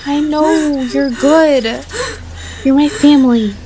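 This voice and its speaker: A woman's voice: sits high, steady tone, slow pace, a crowd in the background. A woman playing a tender, loyal rancher in a western audio drama, earnest and soothing. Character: tender, loyal rancher comforting her troubled family. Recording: clear background hiss and cricket ambience.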